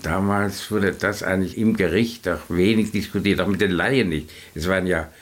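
An elderly man of about eighty-eight with a lowish voice. He speaks as a retired judge in an interview, recalling haltingly and tagging remarks with little questions. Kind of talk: spontaneous interview recollection.

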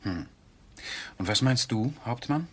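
An actor playing an enthusiastic, impulsive, amiable gentleman landowner in a radio drama. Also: medium pitch, lively intonation, unhurried, breathy edge. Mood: coaxing, excited. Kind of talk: German radio drama acting.

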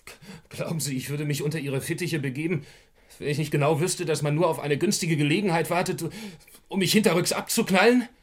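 An actor playing a proud, defensive, vengeful murder suspect in a German crime radio play, intense and emphatic. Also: male voice, a little high, a little fast, close to an everyday voice. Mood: agitated, bitter. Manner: intense, emphatic, swinging from muttering to outbursts.

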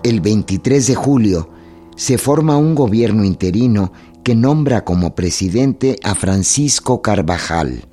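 Low-voiced male narrator over strings. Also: animated, dark tone. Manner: formal, measured storytelling. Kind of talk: scripted documentary-drama narration. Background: strings music.

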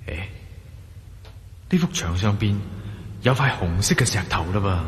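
A man's voice: fairly low pitch, big pitch swings, measured pace. A man with a Hong Kong Cantonese accent playing a wary, curious adventurer in a radio drama, theatrically thinking aloud to himself. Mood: awed, curious. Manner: theatrical, exclaiming and musing to himself. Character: wary, curious male adventurer exploring a dark ancient temple. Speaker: radio drama lead actor. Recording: echoey long reverb, clearly noisy.

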